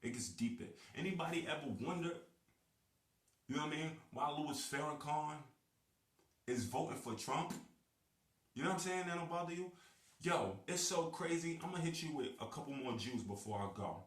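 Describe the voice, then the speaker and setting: A man's voice: fairly high pitch, lively intonation, normal speed, echoey room, recorded from a distance. A man giving a slangy, emphatic vlog monologue to his viewers, repeating his points. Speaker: video blogger. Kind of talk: casual street-talk monologue to camera.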